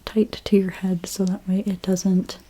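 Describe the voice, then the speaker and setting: A woman whispering in an ASMR role play as a hairstylist, gently talking through each step in a breathy, mostly unvoiced voice. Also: mid-range voice, level tone. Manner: gentle, unhurried, step-by-step personal attention. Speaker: ASMR creator playing a hairstylist.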